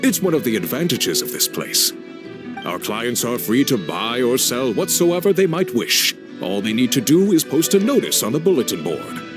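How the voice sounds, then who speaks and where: A man's voice: mid-range voice, sing-song, normal speed, lightly acted. Man playing an oily, wildly swooping front-desk clerk. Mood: oily politeness. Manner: unctuous, formal, theatrical. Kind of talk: animated game cutscene dialogue. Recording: music behind the voice.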